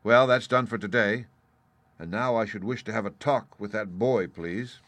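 A man voicing a brisk, educated gentleman doctor with a breezy, dryly mocking manner in an audiobook. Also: fairly low pitch, no room sound, natural voice. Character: brisk, cheerful, scolding gentleman ship's doctor.

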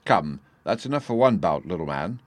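A man's voice: deep voice, sing-song, normal speed, no room sound. A man voicing a dignified, good-natured young army officer in a storybook, courteous, amused and jovial.

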